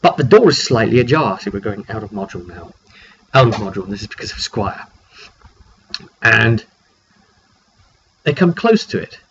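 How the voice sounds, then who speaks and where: A man's voice: on the low side, big pitch swings, normal speed, long pauses, fairly bright tone. Man recounting a tabletop game, lo-fi recording. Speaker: game master. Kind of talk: informal recap of a tabletop roleplay session. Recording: dull lo-fi mic with background noise.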